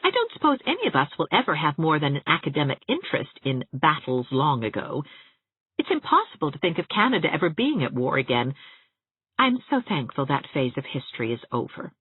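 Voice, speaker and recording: Woman audiobook narrator, lively varied intonation, husky tone, scripted literary storytelling, thin band-limited sound. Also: low-pitched, a little fast, no echo. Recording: narrow, phone-like audiobook sound.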